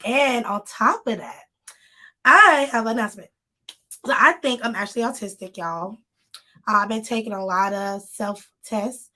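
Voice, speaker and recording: Woman chatting on a live stream, kinda hoarse, talking to the viewers. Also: fairly high pitch, measured pace, sharp tone. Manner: chatty, addresses viewers directly. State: slightly hoarse, voice still recovering. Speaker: magazine co-host. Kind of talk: casual live-stream chat.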